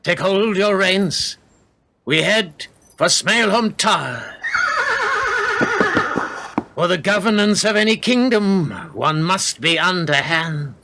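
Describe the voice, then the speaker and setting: An actor playing a cruel, menacing middle-aged lord in a radio play, speaking in archaic, theatrical phrases. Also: male voice, high voice, animated, slow pace, pauses often, background noise, heavily acted. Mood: grim, commanding.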